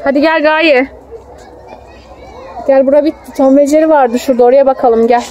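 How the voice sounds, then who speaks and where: A woman's voice: high-pitched, steady tone, moderate pace, a little mellow, muffled recording. A young woman chatting casually with her small child, affectionate and coaxing, as she films outdoors on a phone. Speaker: mother filming her child. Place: outdoors in a theme park. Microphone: handheld phone, behind the camera. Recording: noisy outdoor phone video.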